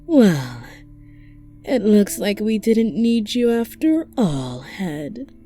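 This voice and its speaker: A woman voice acting a haughty, menacing empress who speaks in a measured, taunting way for an ASMR roleplay. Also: medium pitch, sing-song, mellow, soft background music. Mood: cold, taunting. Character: menacing, imperious empress commanding a war fleet.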